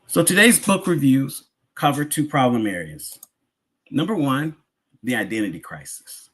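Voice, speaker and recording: A man's voice: medium pitch, expressive, moderate pace, a bit bright, slightly rough. An American man giving a sermon-like public address, speaking in an emphatic yet conversational way with repetition and rhetorical questions. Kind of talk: sermon-like lecture to a congregation.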